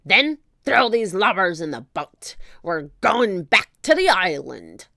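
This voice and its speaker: A woman's voice: medium pitch, sing-song, takes it slowly, vocal fry, sharp tone, no echo, studio quality, full character voice. A woman voicing a horrible, bullying pirate captain in a children's storybook, growling and roaring orders in pirate talk. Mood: gruff, gloating.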